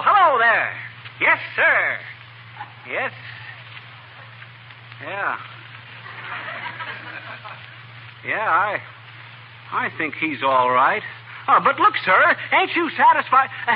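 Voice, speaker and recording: An actor playing a boastful, excitable small-town American husband in a folksy, blustering 1940s radio comedy style. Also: male voice, extremely high pitch, heavily acted. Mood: flustered. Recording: muffled, noisy 1940s radio broadcast.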